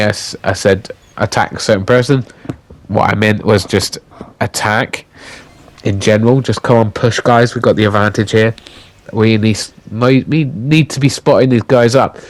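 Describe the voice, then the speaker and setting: Male gaming commentator, slightly low, croaky and congested, halting voiceover full of fillers. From United Kingdom. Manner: halting, with many fillers and restarts. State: croaky voice with a blocked nose from illness.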